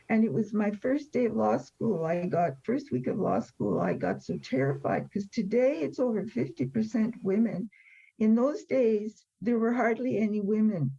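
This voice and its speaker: Woman in her 70s telling her story, mellow gravelly voice, wry and emphatic, kinda lo-fi audio. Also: a little high. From born in France. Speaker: interview guest telling a recovery story. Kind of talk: conversational personal storytelling. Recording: dull, lo-fi sound.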